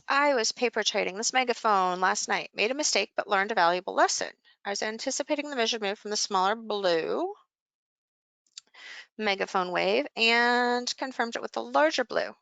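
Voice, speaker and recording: A woman's voice: on the high side, lively intonation, normal speed, vocal fry, a bit bright, no echo. A woman coaching a live session, explaining things informally and conversationally as she thinks aloud over charts.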